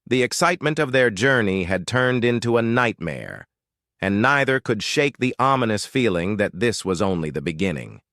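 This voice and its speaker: A man's voice: on the low side, big pitch swings, moderate pace, fairly bright tone, dry recording, studio quality. A man narrating a suspenseful mystery story in a dramatic, scripted style.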